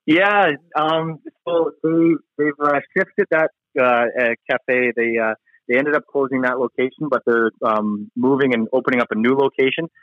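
A man giving casual, halting interview answers as a hockey player. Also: mid-range voice, fairly even intonation, no echo, dull audio. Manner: hesitant, halting, frequent you-knows. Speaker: professional ice hockey player being interviewed.

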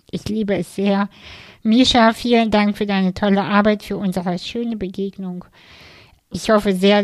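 A woman hosting a podcast, speaking softly and gently, with hesitant fillers in casual chat. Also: mid-range voice, dry recording. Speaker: podcast host. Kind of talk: calm spoken intro and casual interview.

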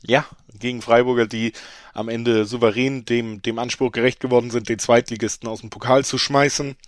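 Guy with a bit of a cold, kinda hoarse, rambling through football tips, dry close sound. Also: mid-range voice, flat delivery, fairly quick, no room sound. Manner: rambling, self-correcting, emphatic. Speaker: betting podcast co-host. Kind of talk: casual football analysis chat.

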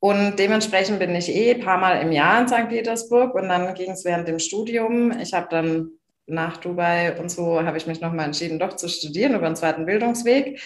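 Lively German woman in an echoey room. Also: lowish voice, fairly quick. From Bavaria, Germany. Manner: enthusiastic, emphatic, many fillers and restarts. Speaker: podcast guest. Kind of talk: casual interview chat.

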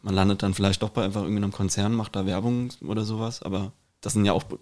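A man with a fairly deep voice co-hosts a relaxed podcast conversation. He builds his questions loosely, halting and repeating words along the way. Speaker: podcast host. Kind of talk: casual conversational interviewing. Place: kitchen.

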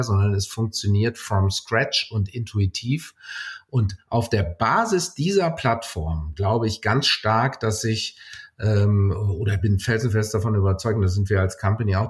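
Male executive guest, middle-aged, lowish voice, explanatory and winding delivery. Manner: hesitant phrasing in long, winding sentences with business anglicisms. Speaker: company executive as podcast guest. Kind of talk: interview answer, explanatory talk.

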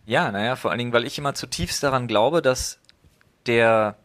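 A man's voice: medium pitch, fairly quick, fairly bright tone. A man co-hosting a casual podcast chat, talking bluntly with some swearing and acting out the things people say to him. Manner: blunt, swears freely, acts out quoted exchanges. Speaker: podcast co-host.

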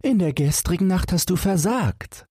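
Guy doing a snooty possessed young lady in a fairy tale, bossy n courtly, pitched way up, husky. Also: expressive, moderate pace, dark tone, no room sound, studio quality. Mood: scornful, reproachful. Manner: commanding, aloof, archaic courtly phrasing. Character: haughty young noblewoman possessed by evil spirits.

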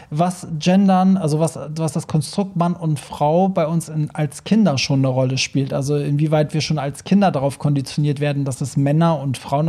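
A man's voice: a little high, mellow. A man hosting a podcast, talking in a chatty, informal and casual way. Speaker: podcast host.

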